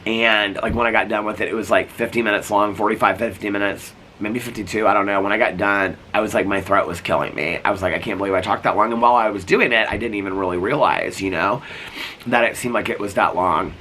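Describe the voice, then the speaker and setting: Sick man rambling outdoors, bright voice. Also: lowish voice, fairly even intonation, fast talker, slight background noise. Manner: rambling, full of "like" and restarts. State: sick with a cough, voice going. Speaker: vlogger. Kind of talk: casual vlog chat. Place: sitting outdoors.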